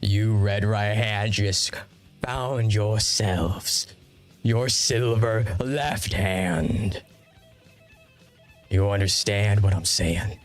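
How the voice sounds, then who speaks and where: A man's voice: on the low side, drawn-out words. Man voicing a cursing rebel rocker. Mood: swaggering. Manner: swaggering, cursing, preachy. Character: brash, profane male rebel rocker who hates governments. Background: background guitar music.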